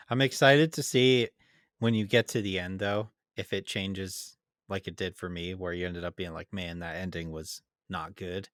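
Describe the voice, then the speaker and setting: A man's voice: low voice, a bit bright, no room sound, studio quality. A man co-hosting a gaming podcast, giving casual, conversational opinions on games. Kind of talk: casual chat and informal game review.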